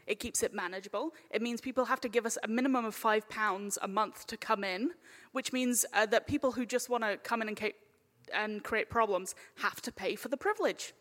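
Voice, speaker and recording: A woman's voice: on the high side, a little fast, fairly bright tone. A woman chairing a live panel in a playful, chatty, informal way. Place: large indoor hall at a live panel event. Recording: echoey hall, live event.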